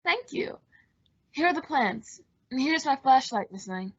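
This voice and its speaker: A woman's voice: high voice, lively intonation, a little slow, breathy edge, full character voice. A woman acting a sly villainous man who poses as a polite helper in a radio thriller, with theatrical delivery. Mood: falsely polite. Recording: dull lo-fi audio.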